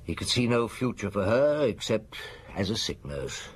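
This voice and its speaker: An actor playing a thoughtful, courteous chief police inspector with a measured, hesitant manner in an old radio drama. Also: male voice, fairly low pitch, big pitch swings, breathy edge. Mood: grave, reflective.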